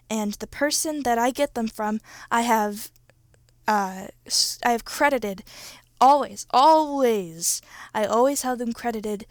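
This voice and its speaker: A young adult woman who creates ASMR roleplays, chatting off script to listeners in an informal, halting, self-correcting way. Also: a little high, dry recording, studio quality. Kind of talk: casual off-script chat to listeners.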